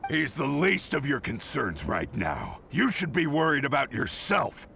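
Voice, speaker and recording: An actor playing a smug, menacing enemy soldier who speaks with cold contempt. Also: male voice, mid-range voice, wildly swooping pitch, measured pace, creaky, sharp tone, a little hiss or hum, heavily acted. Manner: threatening, contemptuous. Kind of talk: video game voice acting.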